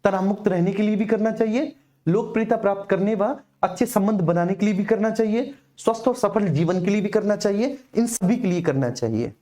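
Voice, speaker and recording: Mellow-voiced male teacher. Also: high-pitched, brisk. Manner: repetitive, explanatory, addressing students directly. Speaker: teacher of exam preparation class. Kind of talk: informal exam coaching lecture.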